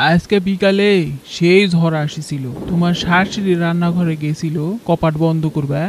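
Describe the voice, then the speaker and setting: A man's voice: high-pitched, lightly acted. A man playing a worried, plaintive elderly village father in a cartoon, with a northern Bangladeshi accent. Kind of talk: dialect cartoon voice acting. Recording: noisy, with rain and thunder.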